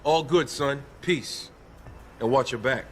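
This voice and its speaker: An actor with an American accent playing a friendly, streetwise arms dealer in a video game, talking in easygoing slang. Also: male voice, mid-range voice, slow pace, a bit bright, slight background noise.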